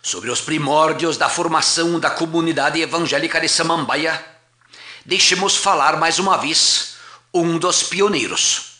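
A man, a pastor, narrating a documentary history in a measured, formal reading voice. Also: fairly high pitch, sharp tone, roomy sound.

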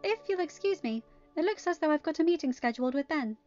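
A woman's voice: high-pitched, expressive, quick pace, darkish tone, highs cut off, faint music behind, pitched above the performer's own voice. A woman voicing an irritated, sarcastic teenage girl with magic powers in a story reading, blunt and defiant. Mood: polite but irritated.

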